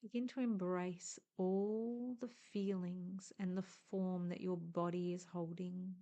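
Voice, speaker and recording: A woman leading a guided meditation in a gentle, hushed, soothing way, speaking in short deliberate phrases with long pauses. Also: fairly low pitch, fairly even intonation, lo-fi sound.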